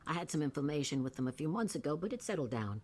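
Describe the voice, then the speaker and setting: A woman's voice: low-pitched, sing-song, rapid-fire, mellow, husky, heavily acted. A woman voice actor playing an eccentric, streetwise older woman who rambles in a folksy, suspicious way in a video game. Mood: friendly, frank. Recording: game music behind the voice.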